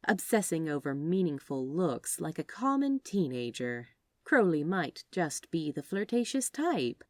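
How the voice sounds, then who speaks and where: A woman's voice: low voice, a little mellow, no echo. A woman narrating scripted fiction expressively, as a storyteller reading aloud.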